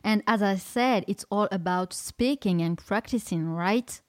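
A woman's voice: mid-range voice, a little slow, a little mellow, no echo, studio quality. A French woman hosting a podcast in English, speaking with a French accent and encouraging, slightly non-native phrasing. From France. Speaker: podcast host. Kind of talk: casual interview in English.

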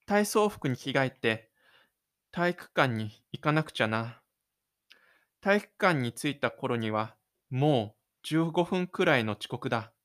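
A man voicing a shy, stubborn, lonely high school boy in a brooding, self-pitying inner monologue for an audio drama. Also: expressive, slow, a bit bright. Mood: resigned.

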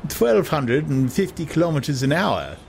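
A man's voice: on the high side, very animated, normal speed, darkish tone, pitched above the performer's own voice. Man putting on a grand, boastful station master. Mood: impressive. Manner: grand, declaiming. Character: impressive, boastful male station master showing off. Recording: clear background noise.

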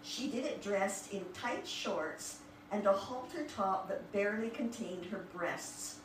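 A woman talking informally to a group and reading book passages aloud, with halting, admiring asides. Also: mid-range voice. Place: echoey room with a small group present. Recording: echoey room, distant mic, clear background noise.

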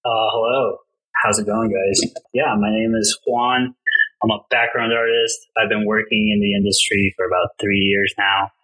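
A man's voice: lowish voice, level tone, normal speed, bright, forceful tone, slightly rough, low-quality recording. A man in his thirties from Colombia, chatting casually as a podcast guest, rambling and laughing often. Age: 30s. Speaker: podcast guest, background artist. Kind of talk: casual chat.

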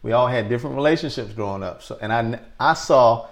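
A man in casual conversation, speaking in a relaxed, colloquial way and often backing his partner up. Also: medium pitch, brisk.

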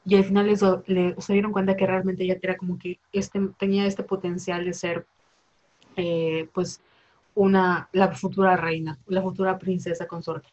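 A woman's voice: on the low side, level tone, normal speed, darkish tone, lo-fi sound. A woman in her twenties with a Mexican accent, chatting casually and rambling, full of slang and fillers. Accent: Mexican Spanish. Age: 20s. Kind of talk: casual chat.

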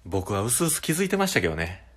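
Man playing junior dating-show rival, deep voice, cheeky, eager, boastful, casually deferential, amateur radio drama. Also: big pitch swings, fast talker, a bit bright, slightly rough, full character voice. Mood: earnest.

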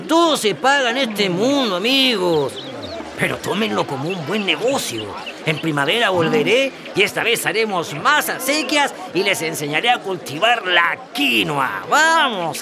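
A man's voice: high-pitched, sing-song, unhurried, gravelly, a crowd in the background, full character voice. A man playing a shrewd, genial trader and farming teacher from the north, breezy and persuasive in radio theatre. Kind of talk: children's radio theatre acting. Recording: music behind the voice.

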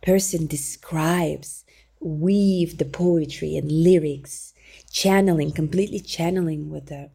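A woman who teaches singing, talking in an interview in calm, gentle, halting non-native English with many fillers. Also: low voice, creaky, breathy edge. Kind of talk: conversational interview.

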